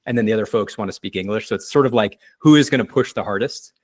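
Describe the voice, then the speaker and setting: A man's voice: fairly low pitch, fast. A man hosting a casual interview, asking loose, meandering questions. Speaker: podcast host, interviewer.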